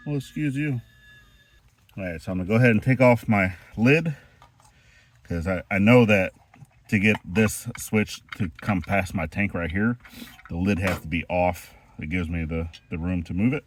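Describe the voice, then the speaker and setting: Deep-voiced man, stuffy from a cold. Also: moderate pace, slight background noise. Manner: explains step by step while working, relaxed. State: congested from a chest cold, sniffling. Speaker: homestead vlogger. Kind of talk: hands-on DIY how-to. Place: outdoors at a well house, kneeling on rocks.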